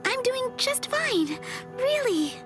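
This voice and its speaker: A woman's voice: very high voice, big pitch swings, slow, exaggerated voice. A woman voicing a sweet, insecure teenage schoolgirl in an anime game, speaking hesitantly and earnestly. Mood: confessing, downcast. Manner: hesitant, earnest, self-deprecating. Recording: music behind the voice.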